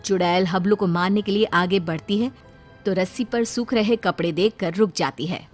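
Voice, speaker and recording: A woman's voice: mid-range voice, normal speed, soft background music. A woman storyteller reading a scripted tale as narrator for a children's story.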